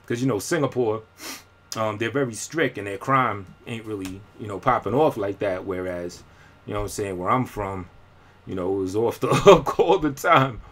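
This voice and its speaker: A man's voice: fairly low pitch, normal speed, a bit bright. Rambling, slangy male vlogger. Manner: rambling, slangy, frequent fillers and restarts. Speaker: independent rapper and vlogger. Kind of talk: casual live-stream talk.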